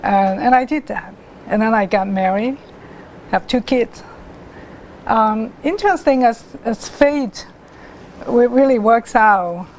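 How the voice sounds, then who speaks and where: A middle-aged woman, telling her life story as a guest artist in an interview, haltingly, with many restarts and pauses. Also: on the high side, a little mellow, slight background noise, low-quality recording. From Hong Kong.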